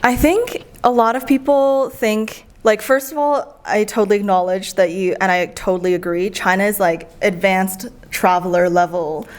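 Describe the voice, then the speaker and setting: Woman guest, from the United States, mid-pitched, lively conversational storyteller. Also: normal speed, hardly any pauses. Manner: chatty, animated storytelling. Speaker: guest, traveler. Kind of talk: casual conversation.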